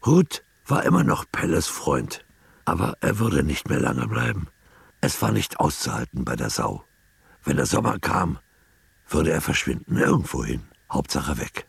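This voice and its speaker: Very deep, husky, gravelly male narrator. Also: very animated, a little slow, no echo. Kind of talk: literary scripted narration for a radio drama.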